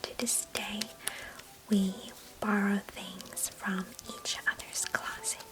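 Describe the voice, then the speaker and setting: A woman's voice: medium pitch, level tone, slow pace, lightly acted, low-quality recording. Woman playing a whispering, chatty affectionate cousin. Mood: wistful, chatty. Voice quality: breathy, unvoiced whisper. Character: chatty, affectionate, nostalgic female cousin, former ballet student. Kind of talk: whispered ASMR roleplay.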